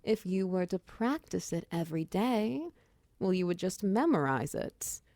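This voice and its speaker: A woman voicing a friendly, chatty female minotaur wizard who mentors an apprentice in a roleplay ASMR style, encouraging and gently instructive. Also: on the low side, lively intonation, measured pace, no echo. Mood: friendly, explaining.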